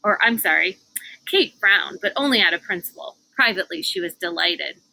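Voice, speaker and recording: A woman's voice: medium pitch, expressive, moderate pace, vocal fry, pushed and bright, rough recording. A woman reading a children's book aloud outdoors on a porch, adding casual asides as she goes. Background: cicadas and air conditioner hum.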